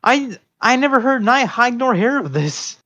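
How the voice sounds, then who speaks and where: A man's voice: very high voice, expressive, normal speed, sharp tone. A man co-hosting a podcast in casual, informal chat with loose swearing, on a dull microphone. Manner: profane, informal. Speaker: podcast co-host.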